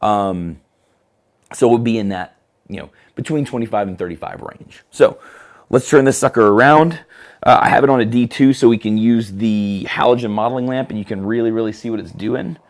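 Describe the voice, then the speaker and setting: A hoarse man with a scratchy throat gives a casual live demo of studio lighting gear, talking in a relaxed, chatty way with many fillers. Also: mid-range voice, normal speed. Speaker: company product presenter. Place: photo studio.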